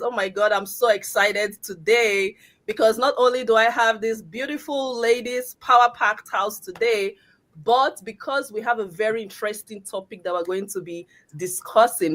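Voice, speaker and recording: A woman's voice: mid-range voice, fairly bright tone. Upbeat woman hosting a live stream. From Canada. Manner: upbeat, motivational. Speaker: host. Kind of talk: live stream group chat.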